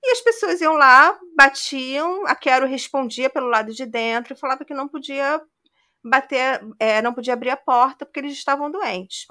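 Bright-voiced woman telling a crime story. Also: high voice, normal speed. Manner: conversational retelling with frequent "né". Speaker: podcast host.

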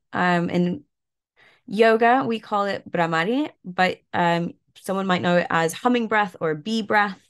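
A woman guest, a yoga and mindfulness coach, talking in a relaxed way with lots of fillers while stuffed up and a bit croaky. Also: on the high side. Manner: relaxed, many fillers like "like" and "you know". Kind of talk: casual interview talk, guiding an exercise.

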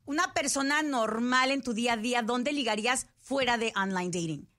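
A woman's voice: fairly high pitch, moderate pace, a bit bright. A woman, hosting a podcast in casual chat and mixing in English words. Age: 40s. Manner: chatty, mixes in English words. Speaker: podcast host.

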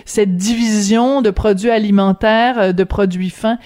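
A woman's voice: mid-range voice, steady tone, measured pace, a little mellow. A woman hosting a conversational interview, putting questions and summing up for her guest. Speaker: interviewer, radio host.

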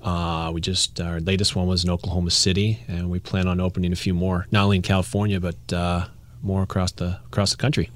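A man's voice: low voice, flat delivery, fast. A man who is a doctor explaining men's health topics on a podcast in a laid-back way, laughing easily. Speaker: doctor, medical clinic founder. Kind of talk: casual interview chat.

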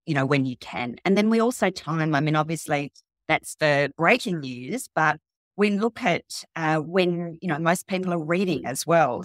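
A woman's voice: deep voice, dry recording, studio quality. A woman journalist answering interview questions conversationally, explaining things with frequent asides and qualifications.

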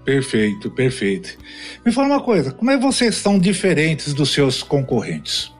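A man's voice: fairly high pitch, huge pitch swings, moderate pace, soft background music. A man hosting a podcast, upbeat and asking short questions. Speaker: podcast host.

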